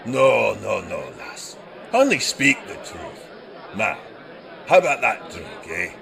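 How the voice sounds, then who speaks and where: Gravelly old Scottish rogue. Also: male voice, lowish voice, very animated, slow, sharp tone, exaggerated voice. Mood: flirtatious. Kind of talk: audio drama acting. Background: music and tavern crowd.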